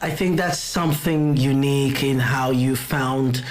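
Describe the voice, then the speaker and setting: A man hosts a studio interview at an everyday pitch. He asks open questions and keeps reacting with eager interjections of agreement. His tone is conversational. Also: unhurried, faint music behind. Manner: frequent enthusiastic backchannels. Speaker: interview host.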